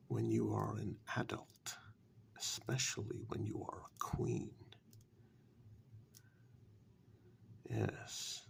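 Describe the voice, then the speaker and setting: Man playing a very slow, gravelly, whispery warlock. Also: lowish voice, heavily acted. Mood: reproachful. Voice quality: soft, breathy half-whisper with gravelly creak. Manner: slow, deliberate, patronising, with drawn-out pauses. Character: sly, condescending warlock telling a dark fairytale. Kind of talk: ASMR fairytale role-play.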